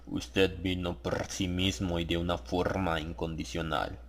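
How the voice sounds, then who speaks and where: A man voicing a grave, reverent old monk of a sinister brotherhood in an audiobook, solemn and ingratiating, with a gravelly voice. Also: sits low, little pitch movement, unhurried, voice pushed down.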